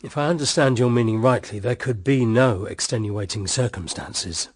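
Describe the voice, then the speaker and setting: A man's voice: medium pitch, moderate pace, breathy edge, close to an everyday voice. An actor with a British accent playing a dutiful, morally rigid family man in a period radio drama, hearty and formal. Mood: firm.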